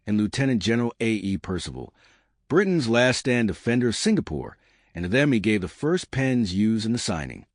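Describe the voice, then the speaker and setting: A man reads old newspaper reports as a narrator, in a formal, measured, scripted news delivery. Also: fairly low pitch, dry recording, studio quality. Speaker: narrator reading newspaper articles. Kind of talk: scripted news reading of archival headlines.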